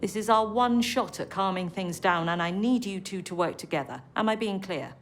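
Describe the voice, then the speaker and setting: A woman playing a stern, brisk spy agency chief in a video game, with a British accent and a clipped, commanding manner. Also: medium pitch, faint music behind, close to an everyday voice. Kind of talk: video game cutscene acting.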